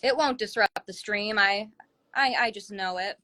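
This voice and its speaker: A woman with a bright, crisp-edged voice at an average pitch hosts a casual interview over a livestream. She talks in an encouraging, effusive way, like someone cheering on her guest. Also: normal speed, slight background noise. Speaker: talk show host interviewing a guest. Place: livestream video call from a phone.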